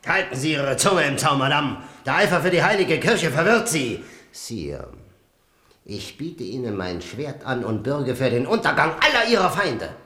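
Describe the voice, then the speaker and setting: An actor in a German radio play, playing a haughty, stern courtier with a formal, forceful manner. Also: male voice, on the high side, very animated, moderate pace, roomy sound. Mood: stern, fervent.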